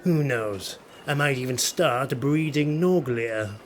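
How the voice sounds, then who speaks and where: Man acting as a sardonic dark elf lord. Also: medium pitch, a little hiss or hum, close to an everyday voice. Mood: musing. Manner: sardonic, slow muttering delivery. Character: proud, cruel, sardonic dark elf highborn raider lord.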